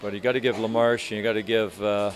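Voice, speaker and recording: An older man in his sixties, a hockey coach answering reporters after a game, speaking in a measured, halting way with many restarts. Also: fairly low pitch, level tone, low-quality recording. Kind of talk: postgame press interview. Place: arena media room in front of sponsor backdrop.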